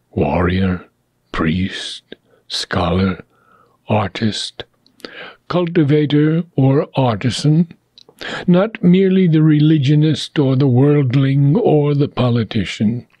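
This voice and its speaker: A man reading a philosophical text aloud as an audiobook, in a slow, measured way, his phrase ends often sinking into whispers. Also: mid-range voice, dark tone, husky, no room sound. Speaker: reader.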